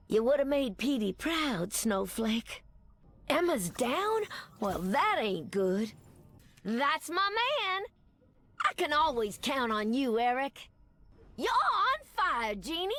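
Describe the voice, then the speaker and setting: An actress playing a tough, warm-hearted game superheroine with a folksy, sassy Southern US accent. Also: female voice, sits high, heavily acted. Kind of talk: video game voice lines.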